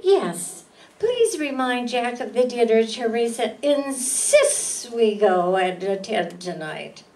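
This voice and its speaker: A woman playing a spoiled, flippant young society wife, whining and drawn-out, in an amateur radio play. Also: on the high side, lively intonation, a little mellow. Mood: gossipy. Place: meeting room stage before an audience. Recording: distant mic, faint room noise.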